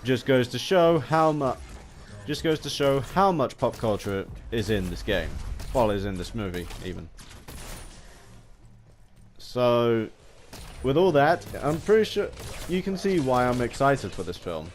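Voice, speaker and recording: A man's voice: mid-range voice, animated. Stammering man rambling over background noise. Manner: halting, stammering, often restarting sentences mid-word. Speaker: gaming video host. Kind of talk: unscripted rambling commentary. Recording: home recording with background noise.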